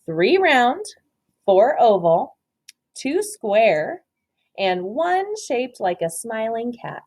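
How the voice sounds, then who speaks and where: A woman's voice: on the high side, sing-song, drawn-out words, darkish tone, full character voice. A woman voicing a helpful, generous young girl in a children's storybook, with a matter-of-fact manner. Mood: helpful, offering. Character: helpful young girl sharing her things, storybook sister.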